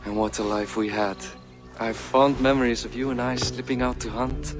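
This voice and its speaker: A man's voice: medium pitch, steady tone, moderate pace, over music, natural voice. An actor playing an aging Viking king in a video game, jovial, self-deprecating and anxious, with old-fashioned folksy phrasing. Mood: fond, easygoing. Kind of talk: cinematic video game acting.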